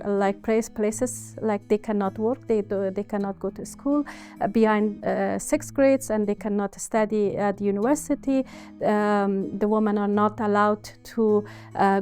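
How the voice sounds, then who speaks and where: A woman in her forties from Afghanistan with an Afghan accent gives a personal interview account in hesitant, halting English. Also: mid-range voice, a little mellow, smooth. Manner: hesitant, halting phrasing in non-native English. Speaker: interviewee, university researcher and women's refugee supporter.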